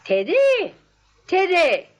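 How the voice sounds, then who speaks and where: An actress playing a sweet, dotty elderly aunt in a 1940s radio comedy, genteel and fussy, on a vintage recording. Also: female voice, extremely high pitch, huge pitch swings, extremely slow, exaggerated voice. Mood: calling brightly.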